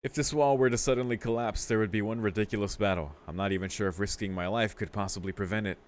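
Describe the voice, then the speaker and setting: A man's voice: on the low side, steady tone, quick pace, a little hiss or hum. A man hosting a let's play, reading a visual novel aloud with informal, amused commentary. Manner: amused, sweary, informal asides.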